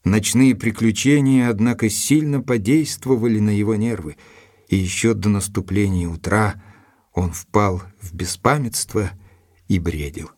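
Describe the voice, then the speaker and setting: An actor playing a sober male doctor who narrates a detective story in measured, literary phrasing for an audio drama. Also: lowish voice, darkish tone, no echo, studio quality. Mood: measured, grave. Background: music.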